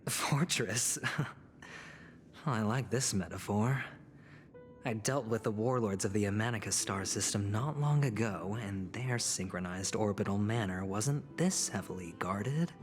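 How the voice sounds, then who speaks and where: An actor playing a cocky, scheming gambler in a video game, with a wry, teasing and theatrical delivery. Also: male voice, lowish voice, a little slow, lightly acted. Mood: bold, scheming. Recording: background music behind dialogue. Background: game music.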